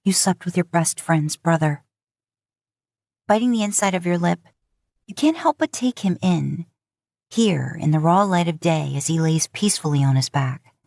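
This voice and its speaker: A woman narrating scripted romantic fiction in a measured, expressive storytelling manner. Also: lowish voice, creaky, no room sound. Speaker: podfic narrator.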